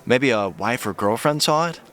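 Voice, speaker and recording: A man's voice: mid-range voice, animated, moderate pace, fairly bright tone, lightly acted. A man playing a skeptical, candid friend of a detective, emphatic and sardonic, in a naturalistic audio drama. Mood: matter-of-fact.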